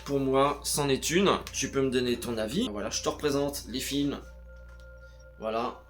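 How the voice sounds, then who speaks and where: A man's voice: medium pitch, steady tone, moderate pace, soft background music. A man talking casually to camera about his home cinema purchases, hesitating often and speaking straight to the viewer. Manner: hesitant, full of "euh" fillers, addresses viewer as "tu". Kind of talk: casual unscripted chat to camera.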